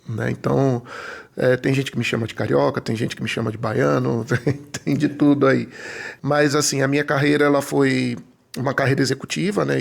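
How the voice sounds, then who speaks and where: A man's voice: medium pitch, creaky. A middle-aged man with a Brazilian accent, talking conversationally as a guest in an interview. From Brazil, born in Rio de Janeiro, grew up in Salvador, Bahia. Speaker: podcast guest, financial consultant.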